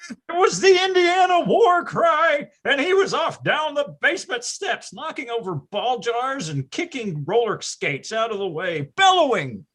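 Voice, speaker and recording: A performer plays a nostalgic storyteller narrating a staged comedy. The delivery is grandiose and wry, with big melodramatic swings in pitch. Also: very animated, a little slow, fairly bright tone, exaggerated voice. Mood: mock-solemn. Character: melodramatic, nostalgic storyteller recalling boyhood schemes. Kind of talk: scripted comic narration.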